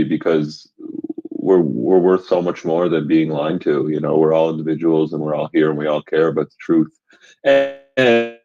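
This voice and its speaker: A man hosting an online audio room, speaking in a relaxed, friendly, plain-spoken way. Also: low voice, muffled recording.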